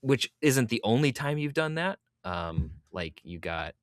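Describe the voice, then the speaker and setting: A man's voice: medium pitch, big pitch swings, unhurried, no room sound, studio quality. A man hosting a podcast interview in a casual, conversational style.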